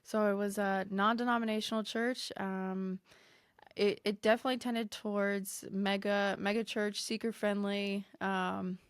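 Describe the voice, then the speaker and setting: American woman guest, halting and mid-pitched. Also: level tone, smooth, dry recording, studio quality. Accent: American, slightly mixed with New Zealand. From United States, grew up in Michigan. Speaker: podcast guest. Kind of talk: casual personal testimony in conversation.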